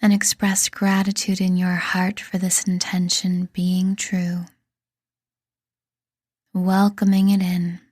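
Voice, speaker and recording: A woman reading a scripted guided meditation in a soothing, deliberate manner, leaving pauses between phrases. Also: fairly low pitch, completely flat delivery, a little mellow, breathy edge, no room sound, studio quality. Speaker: meditation guide and podcast host.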